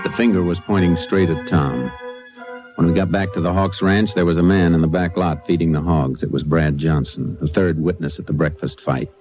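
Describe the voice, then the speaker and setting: An actor playing a calm, laconic Texas Ranger with an American accent, measured and matter-of-fact in old radio drama style. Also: male voice, low voice, music in the background, natural voice. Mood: terse narration. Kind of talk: 1940s-50s radio drama acting. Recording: dull vintage radio recording.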